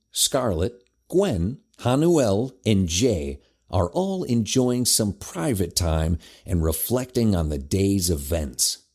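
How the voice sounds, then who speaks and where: A man's voice: on the low side, darkish tone, full character voice. A man narrating a scripted teen adventure audio drama as the storyteller.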